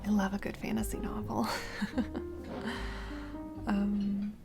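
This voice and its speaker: A woman playing a warm single mom on a first date in a romantic audio roleplay, speaking hesitantly and encouragingly with soft giggles. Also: mid-range voice, monotone, takes it slowly, gravelly. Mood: shyly playful. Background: background music, restaurant date ambiance.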